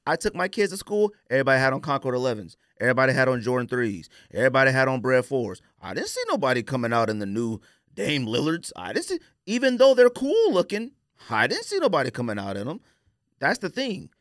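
An American man in his forties talks like a podcast host deep in a casual debate. His pitch moves in lively swings and he drives points home by repeating them. The recording is close and dry. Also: fast talker, no room sound. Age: 40s. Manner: emphatic, repeats phrases for stress. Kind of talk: casual opinionated sneaker debate.

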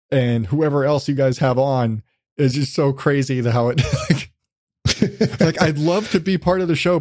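A man's voice: mid-range voice, a little mellow, no room sound, clean studio sound. A man hosting a podcast in a casual, rambling, sweary chat style. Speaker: podcast host.

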